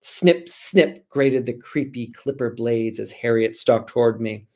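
An animated American man in his forties speaks on a video call from a room at home. He has a theatrical delivery, like an author sharing stories with children. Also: mid-range voice, measured pace. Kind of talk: dramatic storybook reading and casual chat. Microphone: laptop mic on video call.

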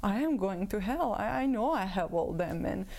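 A woman in her thirties from Croatia, a native Croatian speaker, giving her personal testimony as a guest with many pauses and fillers. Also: medium pitch, animated, darkish tone. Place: room with bookshelves. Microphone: lapel mic.

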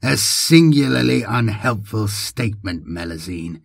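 A man's voice: on the low side, expressive, unhurried, gravelly, slightly rough, no room sound, exaggerated voice. Man voicing a cold, dry mad scientist. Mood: dry, dismissive. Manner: dry, detached, formal. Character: cold, proud male mad scientist, superhuman father. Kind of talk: audiobook character voice.

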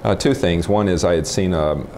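Very deep-voiced American man in his forties. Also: moderate pace, dark tone. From Texas, United States. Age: 40s. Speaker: interviewee, nuclear physicist. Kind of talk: informal oral history interview, recollecting. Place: room in a house, indoors. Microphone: lapel. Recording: clip-on mic with faint background noise.

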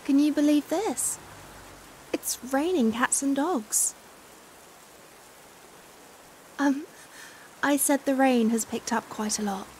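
A British woman playing a caring, fussing young stranger in a chatty, expressive ASMR roleplay. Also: high-pitched, unhurried. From United Kingdom. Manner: chatty, expressive, giggly hesitations. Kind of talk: acted ASMR roleplay, conversational. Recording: hiss and steady rain sound. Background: rain falling.

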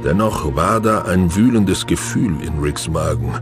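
A man's voice: sits low, measured pace. A man narrating a sci-fi audio drama as a grave storyteller. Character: grave male storyteller of a space adventure. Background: music.

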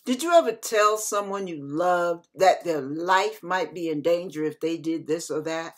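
Female minister for devotional read: unhurried, husky-edged voice, earnest and instructive. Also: on the low side. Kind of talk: scripted devotional sermon.

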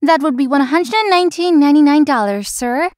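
A woman playing a feisty young florist in a visual novel, earnest and expressive. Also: sits high, a little fast, dry recording, clean studio sound, lightly acted. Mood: courteous. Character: feisty young female florist, polite but privately resentful.

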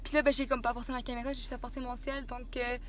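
A woman's voice: fairly high pitch, level tone, racing. Chatty young woman with a Quebec accent. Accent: Quebec French. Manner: chatty, rambling, lots of fillers. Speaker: vlogger. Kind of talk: casual vlog chat. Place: small apartment indoors. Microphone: handheld camera at arm's length.

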